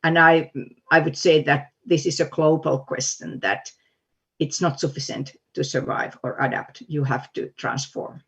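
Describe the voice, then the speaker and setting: A woman's voice: low-pitched, steady tone, a little slow, a bit bright, husky. A woman, a guest education expert in an online seminar, speaking earnestly with frequent restarts. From Finland. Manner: hesitant, many restarts, earnest.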